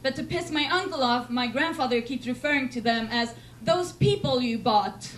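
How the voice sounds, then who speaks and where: A young woman from Sweden with a Swedish accent, doing a deadpan, self-mocking stand-up set in a comedy club. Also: high-pitched, moderate pace. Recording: noisy club recording.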